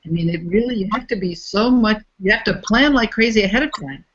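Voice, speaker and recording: A woman's voice: mid-range voice, fairly quick, slight background noise. Interviewee: thoughtful woman, mid-range voice, slightly muffled recording. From United States. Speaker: interviewee, facilitator. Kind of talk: reflective interview talk.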